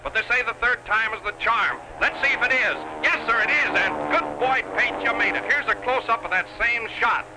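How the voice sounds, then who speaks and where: High-voiced 1930s newsreel stunt commentator, man. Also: moderate pace, hardly any pauses. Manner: excitable, folksy showman delivery. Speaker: newsreel announcer. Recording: noisy 1930s newsreel soundtrack.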